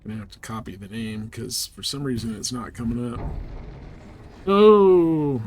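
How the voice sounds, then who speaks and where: A man's voice: mid-range voice, a little slow, background noise. A man chatting casually as a live game streamer, easygoing and often half muttering to himself as he goes.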